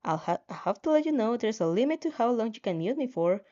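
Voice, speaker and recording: A woman's voice: fairly high pitch, very animated, fast talker, warm tone, dull audio. A woman voicing a controlling but casual game-like system, matter-of-fact and slightly teasing in a fan fiction reading. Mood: nagging.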